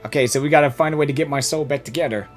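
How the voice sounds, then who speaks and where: A woman game streamer giving casual, excitable live reactions and reading dialogue aloud, often repeating herself. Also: low voice, very fast, music in the background.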